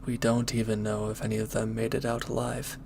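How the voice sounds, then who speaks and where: A man playing a former villain turned hesitant, conflicted lover, speaking earnestly and haltingly in an intimate audio roleplay. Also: on the low side, monotone, creaky, noisy background. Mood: regretful, earnest.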